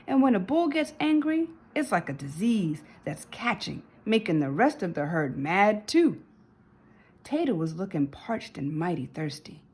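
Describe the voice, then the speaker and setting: Expressive woman storyteller, slightly husky. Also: mid-range voice, unhurried. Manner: dramatic, emphatic storytelling. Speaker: storybook narrator. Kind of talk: expressive children's read-aloud.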